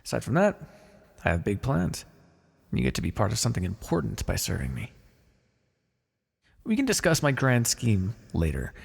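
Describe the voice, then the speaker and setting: A man playing a blunt, callous, wryly businesslike necromancer in an ASMR fantasy roleplay, muttering in a deadpan, gravelly voice. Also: fairly low pitch, moderate pace, long pauses, full character voice. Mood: wry, matter-of-fact. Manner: deadpan, muttering to himself in thought. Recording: long reverberant hall echo.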